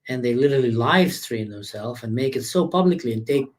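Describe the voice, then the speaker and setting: A man from Israel hosts the interview, talking earnestly and with emphasis at a mid-range pitch. His voice sits at an everyday level for a man, neither high nor low. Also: expressive, a little fast. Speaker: interviewer, host. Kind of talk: casual interview conversation.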